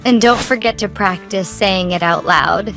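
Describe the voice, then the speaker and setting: A woman co-hosting a scripted English lesson, giving clear, instructive tips. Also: medium pitch, moderate pace, poor audio. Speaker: co-host. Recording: soft guitar music behind.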